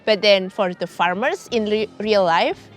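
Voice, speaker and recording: Mid-pitched woman, faint music. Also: measured pace. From Indonesia. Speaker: barista competition contestant. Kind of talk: documentary interview talk.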